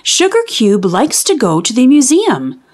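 Female children's storybook reader, lively intonation, unhurried and clearly enunciated, teacherly. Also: high voice. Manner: deliberate, enunciated teaching delivery. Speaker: children's read-aloud host. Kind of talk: expressive storybook reading for young children.

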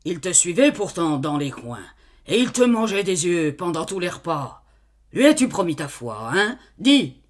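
A woman voicing a shouting, brutish farmer. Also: fairly low pitch, very animated, slow pace, heavily acted. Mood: accusing. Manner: loud, angry, interrogating. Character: brutish stubborn middle-aged male farmer, master.

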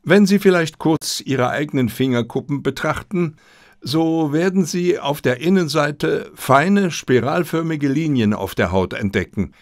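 A man voicing a confident detective in an audiobook, speaking deliberately and politely with theatrical emphasis. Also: on the high side, animated, no echo, studio quality, pitched above the performer's own voice. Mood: assured, explanatory. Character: confident, theatrical male detective addressing suspects.

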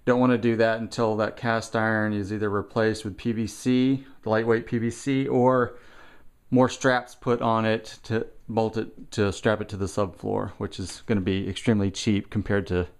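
A man giving a casual, unscripted walkthrough while filming indoors, thinking aloud in halting short phrases. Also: lowish voice, little pitch movement, clean tone. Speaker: person filming a home repair walkthrough. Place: indoors, house basement with block walls. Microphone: handheld camera, held by the speaker.

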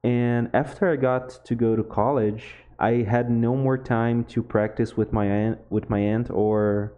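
A young man from Brazil, a Portuguese speaker with a Brazilian accent, chatting casually over gameplay, relaxed and laughing often. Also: mid-range voice, unhurried, lo-fi sound. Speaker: gaming YouTuber.

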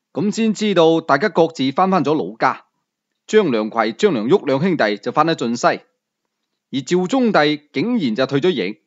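A man narrating a novel in the first person as a storyteller, in an audiobook reading. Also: highs cut off. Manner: first-person storytelling, rising and falling pitch.

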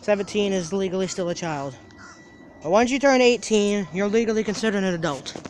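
High-voiced teen guy vlogging outdoors. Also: animated, brisk, a little hiss or hum. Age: teens. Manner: rambling, repeats himself, restarts sentences. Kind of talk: casual daily vlog. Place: outdoors by a parking lot and lawn. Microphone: phone held at arm's length.

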